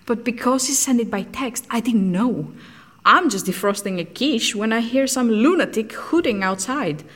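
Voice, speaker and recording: An actress playing an intense, candid woman scientist in an audio drama, telling of lost love in an earnest, deliberate, wistful way. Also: a little high, animated, a bit bright. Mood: animated, fond. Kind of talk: audio drama acting, confessional storytelling.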